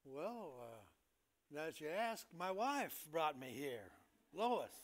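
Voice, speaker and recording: A man acts out a genial hospital patient who likes to joke, for a comic stage reading. The voice is high for a man, with an easygoing, folksy delivery. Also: very animated, slow pace. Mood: joking.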